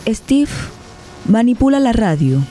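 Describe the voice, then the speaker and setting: A woman's voice: on the high side, sing-song, takes it slowly, warm tone, slight background noise. A woman reading dramatic scripted narration for a radio drama. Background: music.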